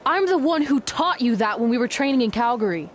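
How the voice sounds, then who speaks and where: A woman's voice: a little high, animated, a little fast, lightly acted. A woman acting as a blunt, exasperated young pro wrestler, sarcastic and no-nonsense in a scripted drama. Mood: reproachful. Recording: noisy location sound with ambience.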